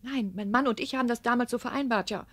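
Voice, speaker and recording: An actress playing a gentle, anxious young mother in a radio play, earnest and hesitant. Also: fairly high pitch, fast, no echo, natural voice. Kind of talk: German radio play acting.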